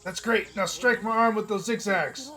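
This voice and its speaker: A man's voice: high voice, moderate pace, pushed and bright, soft background music, voice pushed up. A man playing a melodramatic young self-styled mad scientist who gives theatrical, commanding orders.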